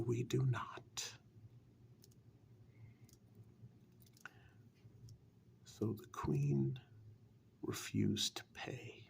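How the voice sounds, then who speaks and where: Man playing a very slow, gravelly, whispery warlock. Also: mid-range voice. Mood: knowing, menacing. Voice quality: soft, breathy half-whisper with gravelly creak. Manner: slow, deliberate, patronising, with drawn-out pauses. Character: sly, condescending warlock telling a dark fairytale. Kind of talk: ASMR fairytale role-play.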